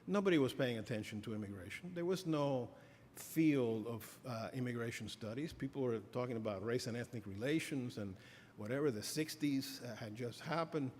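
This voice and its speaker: A man's voice: mid-range voice, darkish tone, a little hiss or hum. Man lecturing in a reverberant hall. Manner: halting, many fillers and restarts. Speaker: professor giving a keynote address. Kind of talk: academic lecture. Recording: reverberant hall, faint ambience.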